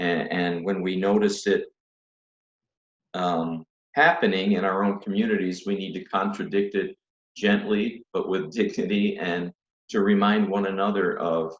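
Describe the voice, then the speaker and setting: A man in his fifties, a priest, speaking earnestly over a video call from a home study, with many restarts. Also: low-pitched. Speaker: priest guest. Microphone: video call webcam. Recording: dull, roomy webcam audio.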